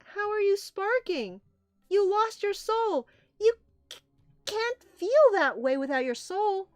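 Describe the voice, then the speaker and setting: A woman's voice: high voice, expressive, a little slow, dry recording, studio quality, soft background music, voice pushed up. A woman voicing an emotional, guilt-ridden female rabbit in a comic, pleading and full of grief. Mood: shocked.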